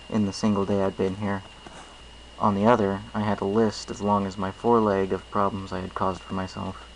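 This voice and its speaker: A man's voice: fairly low pitch, creaky, a little hiss or hum, rough recording. A man reading a first-person story aloud as its narrator. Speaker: amateur story reader.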